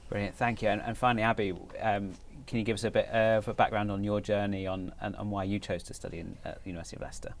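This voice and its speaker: A man hosting a university student panel, upbeat but halting, with many fillers and restarts. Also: fairly low pitch, little pitch movement, creaky. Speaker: panel host, university recruitment head.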